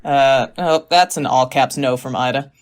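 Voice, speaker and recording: A woman's voice: extremely low pitch, unhurried. A woman playing a tired, sensible teacher in a comic phone-call sketch, dry and exasperated. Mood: reluctant, dry.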